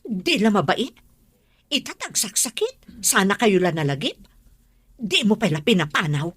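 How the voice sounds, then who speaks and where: A woman's voice: medium pitch, big pitch swings, measured pace, fairly bright tone, husky, dry recording, full character voice. A woman playing an outspoken, protective older confidante in a radio drama. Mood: indignant. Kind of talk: Ilocano radio drama acting.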